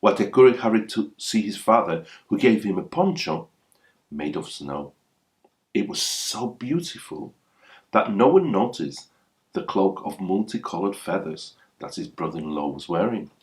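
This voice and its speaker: A man's voice: sits low, animated, normal speed. A man reading a folktale aloud as a scripted storyteller.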